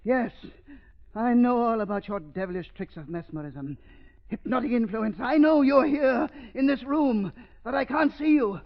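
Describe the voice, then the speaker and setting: A man's voice: very high voice, lively intonation, moderate pace, vocal fry, exaggerated voice. A man playing a gloating, murderous doctor villain with theatrical, mock-tender menace in a 1930s radio drama. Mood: panicked, defiant. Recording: muffled vintage 1930s radio recording.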